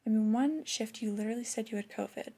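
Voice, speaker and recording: A woman acts out a female store manager who is disappointed yet flirty in an ASMR roleplay. Her voice is soft, breathy and often whispered, mellow and fairly high, with a creaky edge. She reprimands slowly and intimately, as if to one employee. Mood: reproachful, skeptical. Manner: slow, deliberate, intimate reprimanding.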